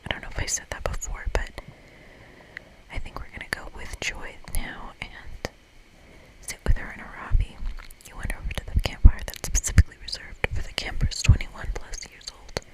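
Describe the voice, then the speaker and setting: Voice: normal speed, low-quality recording. Whispering ASMR game reader. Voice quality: breathy, unvoiced whisper. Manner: reads game narration softly, with casual asides. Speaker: ASMR creator.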